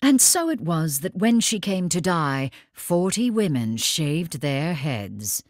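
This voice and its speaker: An actress playing a dour, impatient woman companion in an audio drama, reciting with a dry, dramatic, measured manner. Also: low voice, sing-song, no room sound, full character voice. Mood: grave, lyrical.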